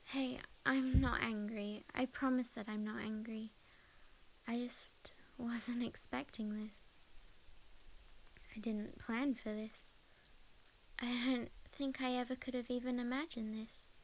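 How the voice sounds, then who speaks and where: A young witch in love, played by a woman with an Australian accent. Her voice is fairly high and softly intimate, in the manner of an ASMR romance roleplay. She speaks earnestly and tenderly, with hesitations and phrases that sink toward a whisper. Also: little pitch movement, slow pace, a little hiss or hum, low-quality recording. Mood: surprised, hesitant. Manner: soft, hesitant, sometimes dropping to a whisper. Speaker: ASMR audio roleplay performer.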